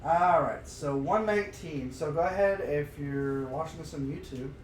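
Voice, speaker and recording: A man co-hosting a podcast in informal, exclamatory chat, thinking out loud as he goes. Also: a little high, moderate pace. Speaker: podcast co-host. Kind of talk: casual chat, reasoning aloud and reading story passages. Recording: roomy, noisy livestream, distant from mic.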